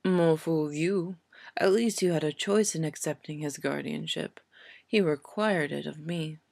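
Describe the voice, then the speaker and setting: A woman reading an audiobook story and voicing a sardonic male wizard, deadpan and coldly unmoved, with a muttering, pointed delivery. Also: deep voice, measured pace, vocal fry, no room sound, clean studio sound, full character voice. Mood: bland, sardonic. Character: sardonic, bland, sneering adult male wizard.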